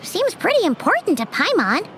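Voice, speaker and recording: A woman's voice: very high-pitched, huge pitch swings, moderate pace, a bit bright, slight background noise, heavily acted. A woman voicing a small, bubbly, food-loving girlish companion who talks about herself in the third person, in a game cutscene. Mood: chirpy. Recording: game audio with music behind.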